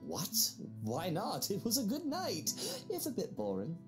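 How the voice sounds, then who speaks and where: A woman's voice: on the low side, huge pitch swings, measured pace, over music, pitched above the performer's own voice. A woman voicing a smug, flirtatious married man in a game she reads aloud, with a teasing manner. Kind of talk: visual novel character voicing.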